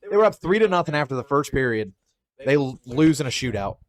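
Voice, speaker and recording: A man co-hosting a casual podcast, rambling about his team in a loose, offhand way. His pitch sits right in the middle and he swears without thinking. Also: very animated, fast. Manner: rambling sports talk, swears freely. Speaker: podcast co-host. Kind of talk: casual chat.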